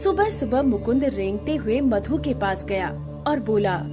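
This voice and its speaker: A woman narrates a scripted tale. Her voice sits high, in a storyteller's delivery, and light music plays faintly behind her. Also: lively intonation, a little slow.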